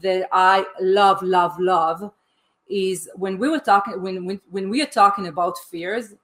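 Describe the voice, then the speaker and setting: A woman's voice: mid-range voice, unhurried, a bit bright. A woman whose first language is Hebrew, talking as a life coach in a casual podcast conversation, eager and repeating words as she goes.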